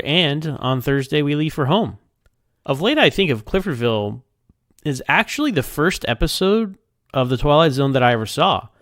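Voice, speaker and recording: A man giving casual, unscripted recorded feedback as a listener, rambling and halting, often repeating words as he goes. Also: medium pitch, fairly bright tone, clean tone, no echo, clean studio sound. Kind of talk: casual, unscripted episode review.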